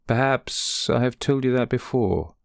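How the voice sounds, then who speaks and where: A man voicing a domineering, sly older spinster with a high, metallic, imperious delivery in a gothic ghost story. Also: a little slow, vocal fry, dry recording, studio quality. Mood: cold, measured.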